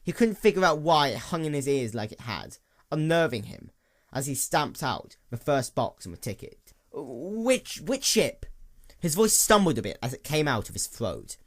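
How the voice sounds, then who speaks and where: Male story narrator, animated pitch, expressive dramatic read. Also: normal speed. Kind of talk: dramatic scripted narration of fan fiction.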